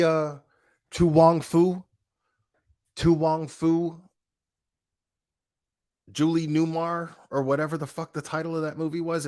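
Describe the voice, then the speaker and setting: A man's voice: a little high, a little mellow. A man ranting in a casual reaction video, blunt and profane, with lots of restarts and slangy fillers. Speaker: online commentator.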